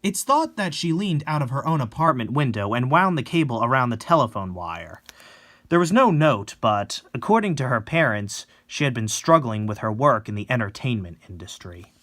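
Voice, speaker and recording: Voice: medium pitch, sing-song, moderate pace, fairly bright tone. A performer voicing a solemn, formal TV reporter giving an on-scene report in a horror manga reading.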